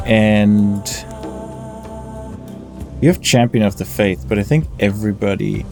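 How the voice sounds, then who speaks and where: Male let's play host: relaxed, dark tone, casual musing delivery, faint music behind. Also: fairly low pitch, unhurried, vocal fry. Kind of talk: casual game commentary, thinking aloud. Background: game music.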